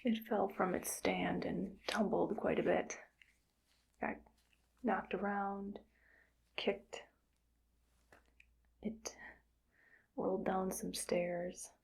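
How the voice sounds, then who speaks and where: A woman voicing a callous female mad scientist in an ASMR roleplay, whispering softly in a slow, coldly matter-of-fact way. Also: mid-range voice, gravelly, husky, full character voice. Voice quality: soft whisper. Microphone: close sensitive mic.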